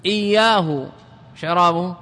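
A man teaching an Arabic grammar lesson as a religious scholar. He questions his students, answers himself, and chants verse lines slowly. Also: sits high, sharp tone, long reverb tail.

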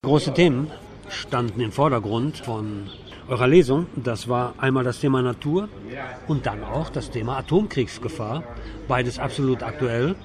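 A man's voice: mid-range voice, normal speed, a crowd in the background. A man hosting a conversational cultural radio interview. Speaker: radio host interviewing a guest.

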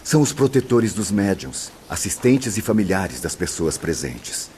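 An actor with a Brazilian accent playing a solemn, courteous spirit guide who explains formally in a radio drama. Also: male voice, low voice, very animated, unhurried, a little mellow, a little hiss or hum. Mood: patient, instructive. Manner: formal, didactic.

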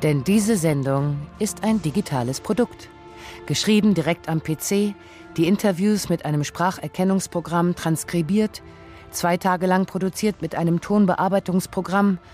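A man playing an anguished, self-reproaching father who narrates a radio essay in deliberate, reflective phrases. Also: sits high, a little mellow, close to an everyday voice. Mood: matter-of-fact. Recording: dry studio with some music.

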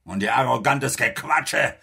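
An actor playing a stern, threatening middle-aged army major in a German radio play, with a biting, insulting manner. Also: male voice, medium pitch, measured pace, gravelly, pushed and bright, husky, full character voice. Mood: furious.

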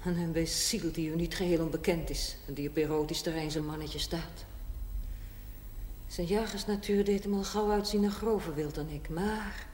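An actress playing a proud, middle-aged woman with a hard past in a television drama, telling her story bluntly and deliberately. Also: sits low, steady tone, darkish tone, lightly acted. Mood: proud, wry. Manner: blunt, matter-of-fact, deliberate. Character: frank, proud 45-year-old former prostitute telling her life. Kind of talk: theatrical monologue in television drama. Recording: echoey, faintly noisy 1970s TV recording.

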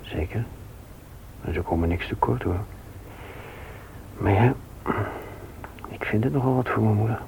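An actor playing a gentle, devoted father in a radio play, soft-spoken and earnest. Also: fairly low pitch, unhurried, creaky, pauses often. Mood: tender, hushed. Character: Gerrit, gentle motherless children's devoted father. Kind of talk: Dutch radio play acting. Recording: noisy old radio recording.

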